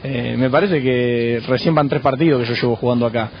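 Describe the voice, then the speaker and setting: Argentine footballer, calm halting answers, dull radio sound with light hiss. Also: male voice, medium pitch. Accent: Argentine Spanish. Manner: measured, frequent hesitations and restarts. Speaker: footballer guest. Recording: muffled radio broadcast with faint noise.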